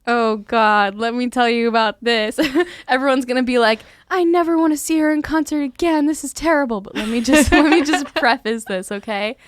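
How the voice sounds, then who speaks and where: Teenage girl chatting, smooth clear voice. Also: on the high side, moderate pace, hardly any pauses, no echo. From Philadelphia, USA. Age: teens. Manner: chatty, lots of "like", laughs while talking. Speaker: guest singer-songwriter. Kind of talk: casual interview chat.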